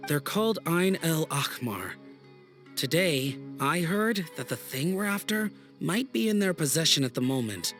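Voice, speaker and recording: A young male student in a video game. His voice is a little high and assured as he explains and reassures, with music clearly behind him. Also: lively intonation, a little slow, a bit bright. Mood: knowing. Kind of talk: video game cutscene acting.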